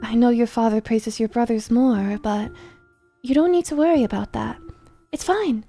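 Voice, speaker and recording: A woman's voice: on the high side, normal speed, mellow, soft background music, natural voice. A woman playing an anxious mother in a drama, coaxing and fussing over her troubled eldest son with pleading insistence. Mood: worried, coaxing. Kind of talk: dramatic character acting.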